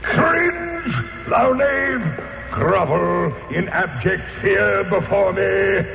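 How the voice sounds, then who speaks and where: Spectral old nobleman, tormented and wrathful. High-pitched for a man, archaic declamation, reverberant dull radio sound. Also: expressive, very slow, creaky, soft background music, full character voice. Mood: outraged. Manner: theatrical, archaic, grandly declaiming speech. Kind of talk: old-time radio drama acting.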